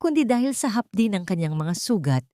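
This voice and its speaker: A woman's voice: medium pitch, sing-song, a little fast, warm tone, dry recording, studio quality. A woman narrating a scripted melodramatic story in a dramatic storytelling style.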